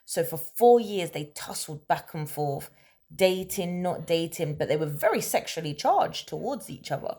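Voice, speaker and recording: A woman telling a story in a casual, conversational way, animated and emphatic, often checking in with rhetorical questions. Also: low voice, moderate pace. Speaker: storyteller, host of a story-time series.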